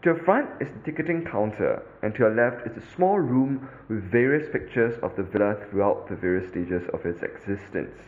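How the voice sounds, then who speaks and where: A man's voice: on the low side, normal speed, few pauses, phone-quality sound. A man with a Singaporean English accent, narrating a scripted audio tour as a podcast host, in an instructive tone with the odd filler. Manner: instructive, lively, with occasional fillers.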